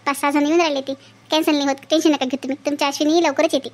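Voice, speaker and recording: A woman's voice: sits high, little pitch movement, very fast, very noisy, lightly acted. A woman voicing a chatty, earnest young sister-in-law in an amateur cartoon family drama, with a Vidarbha Marathi accent. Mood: reassuring. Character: gentle young sister-in-law, teasing but easily wronged.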